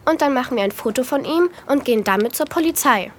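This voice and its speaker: High-voiced, bold adventurous young girl. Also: lively intonation, fast, slight background noise. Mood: eager, daring. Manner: brash, eager, conspiratorial. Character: bold, adventurous young girl playing detective, bossy and eager. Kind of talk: children's audio drama acting.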